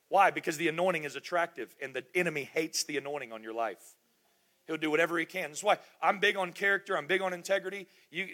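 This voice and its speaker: Middle-aged male pastor, emphatic sermon delivery, bright forceful voice. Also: high voice. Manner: emphatic, repetitive preaching with rhetorical questions.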